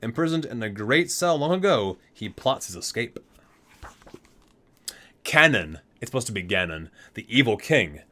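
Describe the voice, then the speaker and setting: A man with a medium-pitched, very animated voice reads aloud like a video creator presenting a book. He leans into titles and names with theatrical weight, then slips into casual asides in his own conversational way. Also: normal speed.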